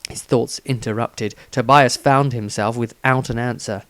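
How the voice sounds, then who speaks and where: A man's voice: mid-range voice, fairly even intonation, unhurried, fairly bright tone, no echo. A man reading a scripted fantasy story aloud as its narrator.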